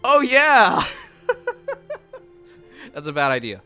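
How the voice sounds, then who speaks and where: Lively young man streamer, bright voice. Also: high-pitched. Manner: animated, rambling, quick to laugh. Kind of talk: casual stream chat. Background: faint music.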